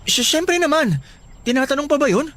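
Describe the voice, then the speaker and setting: A man playing a sweet, eager young college boyfriend in a Filipino radio drama, gentle and a little hesitant. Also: very high voice, expressive, normal speed. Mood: startled, hesitant.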